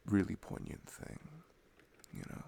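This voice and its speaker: A man playing an affectionate, reflective boyfriend in an intimate ASMR roleplay, speaking softly and tenderly with hesitant pauses. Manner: soft, hesitant, tender, pausing between phrases.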